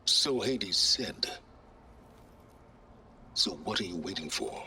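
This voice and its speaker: An actor playing an arrogant, secretive older male researcher in a video game, speaking in a measured, pompous, sardonic and condescending way. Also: sits low, animated, pushed and bright, a little hiss or hum, heavily acted. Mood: impatient. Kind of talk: video game cutscene acting.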